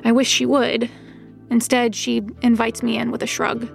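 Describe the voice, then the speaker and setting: An actress playing a reserved, watchful young woman, narrating in the first person in a measured, deadpan way for an audio drama. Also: fairly high pitch, creaky, soft background music. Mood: guarded, deadpan. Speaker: narrator, detective's new assistant.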